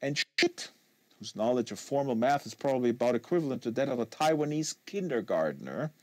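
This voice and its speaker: Man giving an expressive, dry-sounding literary reading. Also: mid-range voice, fairly quick, no echo. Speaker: audiobook reader hosting a live reading series. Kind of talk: literary audiobook narration.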